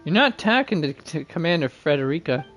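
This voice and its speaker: A man's voice: on the high side, big pitch swings, fast talker, fairly bright tone. A man hosting a game livestream, reading dialogue aloud and commenting casually, often stumbling and correcting himself. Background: game music.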